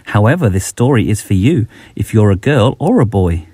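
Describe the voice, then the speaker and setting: A man's voice: lowish voice, sing-song, moderate pace, warm tone, breathy edge, dry recording, studio quality. A middle-aged man reading a rhyming bedtime story aloud, expressively, as a children's author addressing young listeners.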